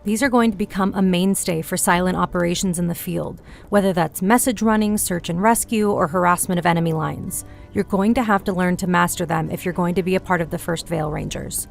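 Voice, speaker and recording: Firm, measured female sergeant teaching recruits, acted by a woman, low-leaning voice with fry, audio drama. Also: soft background music. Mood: firm, instructive. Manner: instructional, measured. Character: Sergeant Helena, firm, composed female broom-riding instructor. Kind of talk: audio drama character acting.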